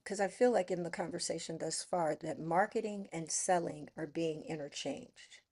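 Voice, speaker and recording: A woman hosting an interview podcast, speaking warmly and familiarly to her guest. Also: deep voice, normal speed, slightly rough. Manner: affectionate, calls guest darling and girl. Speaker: podcast host. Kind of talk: interview conversation.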